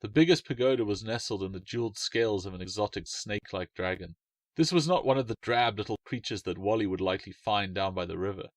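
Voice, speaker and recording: A man reading his own fantasy short story in a calm, measured way. His voice sits low. The recording is close and dry with no room sound. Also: no room sound. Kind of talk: storybook narration.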